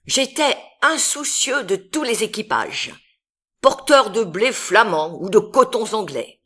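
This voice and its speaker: A woman reads literary verse aloud with a mid-range voice. Her delivery is extremely slow and deliberate, leaving long pauses between phrases. The recitation is dramatic and expressive. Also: mid-range voice, husky. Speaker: poetry reader.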